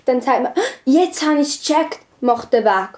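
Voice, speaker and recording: Teenage girl, highish pitch, dark soft timbre, casual group chat. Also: expressive, moderate pace. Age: young teenager.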